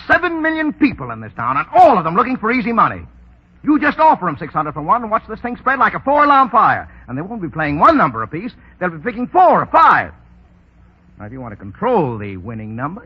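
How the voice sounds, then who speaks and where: An actor playing a cocky, shrewd racketeer in a 1930s radio drama, sardonic and full of clipped slang. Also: male voice, high-pitched, huge pitch swings, brisk, slightly rough, heavily acted. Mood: persuasive. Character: cocky, shrewd tough racketeer, wry and commanding. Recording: dull old 1930s radio broadcast.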